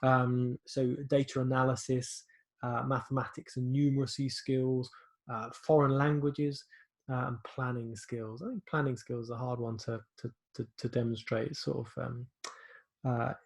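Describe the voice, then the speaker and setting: Hesitant man teaching online. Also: mid-range voice. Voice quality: loud voice. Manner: hesitant, many fillers and restarts. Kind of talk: online class briefing.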